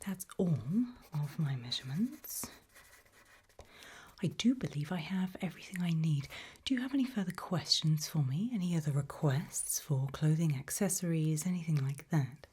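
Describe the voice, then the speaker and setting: A woman playing a polite, attentive tailor to monsters in an ASMR roleplay, speaking in a soft, breathy, unhurried whisper with a reassuring manner. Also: sits low, lively intonation, exaggerated voice. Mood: soft, courteous. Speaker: ASMR performer.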